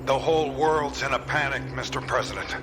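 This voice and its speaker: An actor playing a blunt, hard-line army general in a radio drama. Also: male voice, mid-range voice, a little fast, background noise, lightly acted. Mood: firm, grim.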